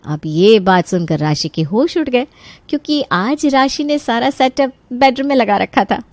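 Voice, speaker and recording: A woman narrating a scripted animated family story as its storyteller. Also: fairly high pitch, moderate pace, no room sound.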